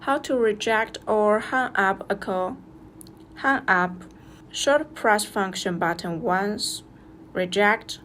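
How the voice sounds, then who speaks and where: A woman's voice: medium pitch, slow pace, fairly bright tone, slight background noise. A woman reads a scripted product review as a narrator, going through instructions step by step.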